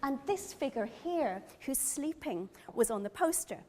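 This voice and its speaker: Middle-aged Northern Irish woman, slightly high voice, curator giving a lively personal gallery talk. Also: measured pace. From Northern Ireland. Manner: earnest, enthusiastic, personal. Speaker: museum curator. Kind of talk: gallery lecture. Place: gallery or lecture room.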